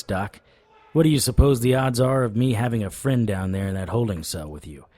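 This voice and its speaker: A man plays a tough, wry private eye of the supernatural in a noir audio drama. His voice sits a little low and is recorded close and dry. He talks with deadpan, sardonic swagger. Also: no room sound, close to an everyday voice. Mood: threatening. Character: wry, tough male supernatural private investigator, hard-boiled narrator.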